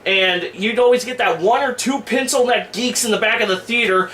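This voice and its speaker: Male vlogger, high-pitched and forceful, blunt slangy reviewer rant, roomy home-made sound. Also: normal speed. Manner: informal, griping, blunt slangy delivery. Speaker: online movie and game reviewer, host. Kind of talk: casual opinion rant to camera. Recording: echoey room with faint background noise.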